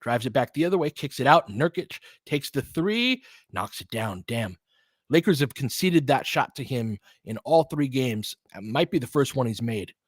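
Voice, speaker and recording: A man's voice: medium pitch, animated, normal speed, no echo. A man giving informal live basketball play-by-play as a fan-facing host, opinionated and conversational, in clipped phrases.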